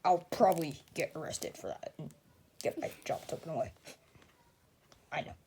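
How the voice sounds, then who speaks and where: A woman voicing a weary, wry police officer in an improvised puppet show, muttering in a deadpan manner. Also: low-pitched, normal speed, husky, voice pushed down.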